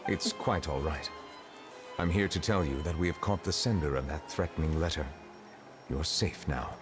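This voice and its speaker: A man playing a courteous, calm high official in a video game, speaking formally and with measured care. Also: over music. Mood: gentle.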